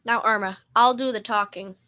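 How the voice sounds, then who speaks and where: A bright, high-set voice of a schoolgirl actress plays a young working woman in an old-time radio comedy. She is sensible and affectionate, with a weary edge. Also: steady tone, moderate pace. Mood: firm. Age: middle school student.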